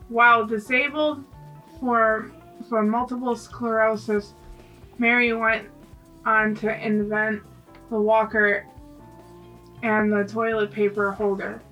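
A woman with a bright, slightly high voice reads a prepared text slowly and in a monotone, breaking it into short phrases. She is a bit off the mic, with soft music behind. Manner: halting reading in short phrases. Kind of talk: scripted read-aloud segment. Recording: distant mic with faint music.

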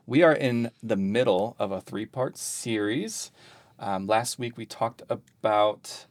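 Male podcast host, low voice, dry close mic, measured and a little hesitant. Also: no room sound. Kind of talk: hosting a discussion.

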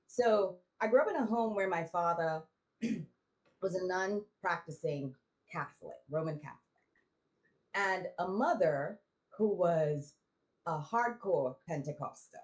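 Woman guest, lower voice, reflective storyteller with restarts. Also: rough recording. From Trinidad. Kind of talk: casual interview conversation.

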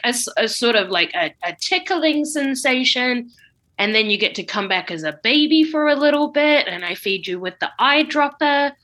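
A woman's voice: high voice, bright, forceful tone, full character voice. A woman playing a chatty, oblivious old wizard headmaster in an improvised comedy sketch, stammering and slangy. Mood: apologetic.

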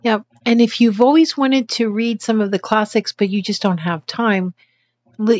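A woman's voice: medium pitch, a little mellow, dull audio. A woman co-hosting a podcast in casual, agreeable conversation. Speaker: podcast co-host.

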